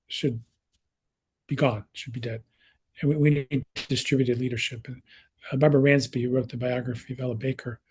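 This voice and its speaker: A man's voice: medium pitch, a little mellow, breathy edge. A man in his sixties speaking on a panel in a conversational way, with many restarts and fillers, over a video call. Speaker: researcher and speaker. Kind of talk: panel talk.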